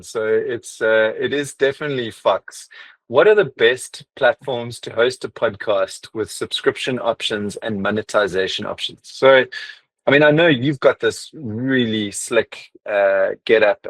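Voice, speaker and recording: Chatty male interviewer, mid-range voice, dry close sound, halting. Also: mid-range voice, no room sound. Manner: chatty, hesitant, many restarts and fillers. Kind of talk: casual interview talk.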